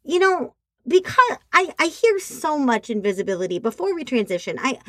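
A woman with a nasal voice talking casually on a podcast, expressive and animated as she tells stories. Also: high voice, moderate pace, dry recording, clean studio sound. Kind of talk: casual chat. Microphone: remote call.

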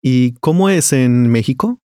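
A Colombian man co-hosts a learners' podcast, putting quiz-style questions to his co-host. His voice sits at a middle pitch and is recorded dry and close. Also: expressive, measured pace, mellow, no room sound. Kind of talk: scripted educational podcast.

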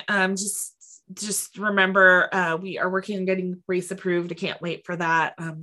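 Bright-voiced woman hosting a podcast. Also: on the low side. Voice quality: slightly stuffy nose from allergies. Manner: animated, acts out imagined quotes. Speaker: podcast co-host; veterinary technician. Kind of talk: casual chat.

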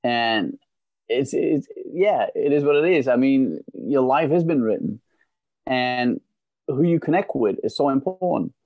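A middle-aged man from Belgium, a guest on a podcast interview, telling a story in an animated way and often repeating words as he starts a sentence. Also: mid-range voice, unhurried, no room sound. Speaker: guest, motivational speaker. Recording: dry, dull lo-fi sound.

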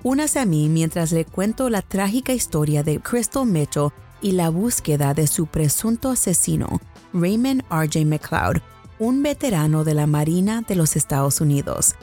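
A woman's voice: sits low, animated, moderate pace, mellow, faint music behind. American woman hosting a true crime podcast. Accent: American English. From United States. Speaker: podcast host.

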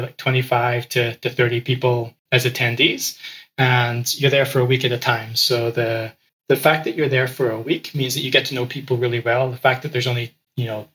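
A man who grew up in Ecuador, a podcast guest telling his story in a relaxed conversational way, often repeating words as he restarts sentences. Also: medium pitch, a little fast. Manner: frequent word repeats and restarts.

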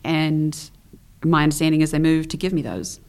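A young woman from the Isle of Man speaks in a noticeably low register. She talks casually and chattily as an interview guest, often pausing with ums and letting phrases trail off. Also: steady tone, creaky, a little mellow.

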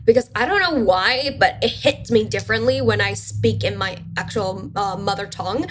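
A woman's voice: medium pitch, moderate pace. A woman whose first language is Mandarin, a guest talking casually in an interview with many fillers and restarts. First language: Mandarin Chinese. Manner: relaxed, chatty, with fillers and restarts.

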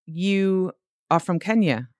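A woman's voice: fairly low pitch, expressive, takes it slowly, darkish tone, no room sound, clean studio sound. A woman interviewing a guest, asking attentive, probing questions. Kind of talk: conversational interview.

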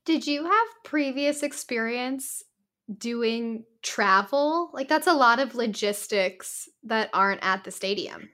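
Woman podcast host, eager with follow-ups, highish bright voice. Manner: enthusiastic, asks quick follow-up questions. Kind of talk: casual interview chat.

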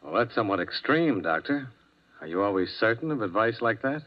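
An actor playing a wry, laconic insurance investigator who also narrates, in 1950s radio drama. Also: male voice, on the low side, sing-song, a little fast. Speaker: narrator and lead. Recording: muffled old radio broadcast.

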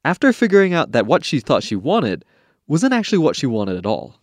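Podcast host with lively, swooping intonation. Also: male voice, on the high side, brisk, no echo, clean studio sound. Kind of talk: scripted narration and interview chat.

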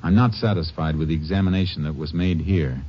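Man doing a low, calm texas ranger, polite, muffled old radio w hiss. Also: brisk, close to an everyday voice. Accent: Texan. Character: steady Texas Ranger investigating a murder. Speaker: lead actor in a radio drama. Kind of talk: 1950s radio drama acting and narration.

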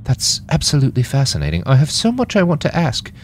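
A man narrating puts on a polite, awed foreign reporter in a fiction podcast. He sounds eager and fascinated, with hugely animated pitch over faint noise. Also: fast talker. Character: awed, curious, polite British male foreign correspondent.

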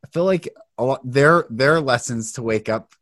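A man hosting a podcast, speaking in a thoughtful, halting way with fillers as he reflects on his guest's words. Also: mid-range voice, a bit bright. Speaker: podcast host. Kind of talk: reflective interview talk.

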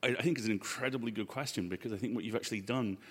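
A man speaking as a panel guest in a live discussion, making his points in a measured, emphatic way. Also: lowish voice, a bit bright, husky. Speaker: panel guest and podcast co-host. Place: hall at a live convention panel. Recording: echoey hall with long reverb.

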